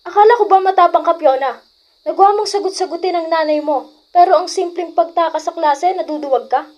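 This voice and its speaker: A woman's voice: very high-pitched, brisk, darkish tone. A woman playing a bold, goading teenage schoolgirl who leads friends astray in an amateur radio drama. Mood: taunting.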